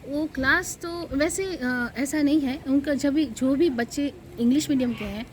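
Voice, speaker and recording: A woman working as an English test coach, explaining step by step in an interview with many pauses and hesitations. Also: high-pitched, slight background noise.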